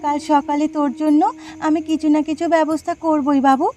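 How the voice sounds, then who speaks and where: A woman's voice: high voice, flat delivery, brisk, warm tone, slight background noise, lightly acted. A woman with a West Bengal accent voicing a supportive, firm village wife and mother in a cartoon, earnest and emphatic. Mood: reassuring. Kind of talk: cartoon voice acting.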